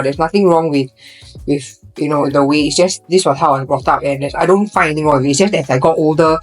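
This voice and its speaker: A woman's voice: deep voice, low-quality recording. A young woman from Singapore with a Singaporean English accent, chatting casually on a podcast and filling her talk with fillers and restarts. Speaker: podcast co-host.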